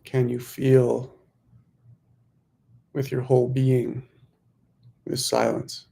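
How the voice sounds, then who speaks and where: A man leading a guided meditation, giving gentle instructions in unhurried phrases with pauses. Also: medium pitch, monotone, creaky.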